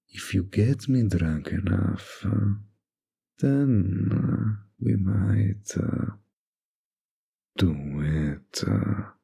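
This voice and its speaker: Man playing a slow, husky flirty mafia boss. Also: low voice, dark tone, exaggerated voice. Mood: suggestive, sly.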